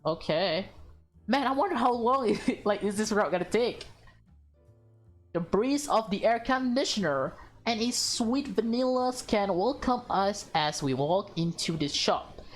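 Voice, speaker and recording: Slow-paced woman reading a visual novel aloud. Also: medium pitch, animated, soft background music. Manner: stumbles over read text, reacts aloud. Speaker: video creator playing a visual novel. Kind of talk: casual playthrough, reading dialogue aloud with commentary.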